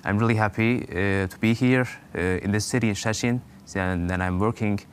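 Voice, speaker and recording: A young man with a Turkish accent and a fairly low voice answers interview questions in English. He hesitates often, with plenty of "uh" and "like" as he restarts his sentences. Faint background noise sits under his voice. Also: steady tone, fairly quick. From Turkey. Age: 20s. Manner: hesitant, many fillers and restarts. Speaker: guest.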